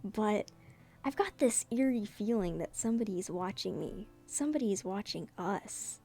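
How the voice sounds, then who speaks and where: A woman's voice: mid-range voice, expressive, moderate pace, warm tone, slightly rough, faint music behind. A woman voicing an earnest, brooding young male gang leader in a solemn, dramatic webcomic reading. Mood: brooding, resolute.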